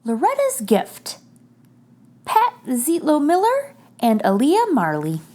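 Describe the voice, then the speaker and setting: High-pitched woman reading a children's story aloud. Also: wildly swooping pitch, a little mellow, soft background music. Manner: addresses young listeners directly, clear deliberate phrasing. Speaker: host reading a children's picture book aloud. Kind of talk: expressive read-aloud storytelling for young children.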